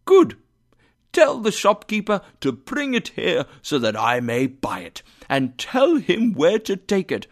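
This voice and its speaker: Guy doing gleeful old miser from a storybook, all exclamations, voice jumping up high and all over. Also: huge pitch swings, a little slow, full character voice. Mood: eager glee. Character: overjoyed reformed old miser, giddy as a schoolboy. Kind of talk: dramatised storybook reading.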